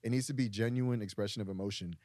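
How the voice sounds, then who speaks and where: A man's voice: lowish voice, fast talker, a little mellow, dry recording, clean studio sound. A young man from Brooklyn, a guest on a podcast, talking candidly and earnestly in casual conversation. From Brooklyn, United States. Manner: candid, earnest, talks through ideas with restarts.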